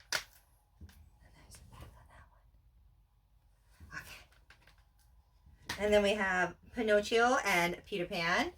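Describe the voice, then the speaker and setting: A woman's voice: fairly low pitch, a bit bright. A woman chatting casually and playfully in an unscripted unboxing at home, with exclamations and jokes at her own expense. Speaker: co-host showing collection items. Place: indoors at home on a couch. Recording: distant mic, noisy room.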